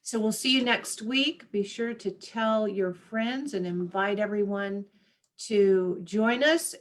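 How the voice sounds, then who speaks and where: A woman minister hosting an online church service over a video call, gently thanking her guest and leading the congregation in prayer. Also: mid-range voice.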